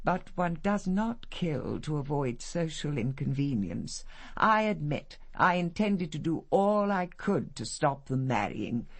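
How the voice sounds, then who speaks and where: Radio matriarch: middle-aged, haughty and formal, husky timbre, very animated pitch, coolly disapproving. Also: female voice, measured pace, full character voice. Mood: cool, frank. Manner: imperious, clipped, formal. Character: haughty, disapproving upper-class matriarch, cool and cutting. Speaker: actress in a radio detective drama. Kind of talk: radio drama dialogue.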